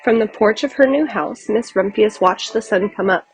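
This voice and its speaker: A woman reads a children's book aloud as a storyteller. Her voice sits at a middle pitch, expressive and carefully delivered, with music playing behind it. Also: normal speed, poor audio. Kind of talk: scripted storybook narration.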